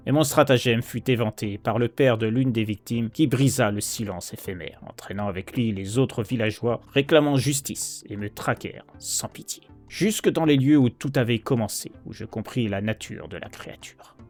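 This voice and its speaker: A man playing an anguished, guilt-ridden heir who narrates a horror poem in a solemn, theatrical, measured way. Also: medium pitch, a little mellow, close to an everyday voice. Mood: guilty anguish. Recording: narration over prominent background music.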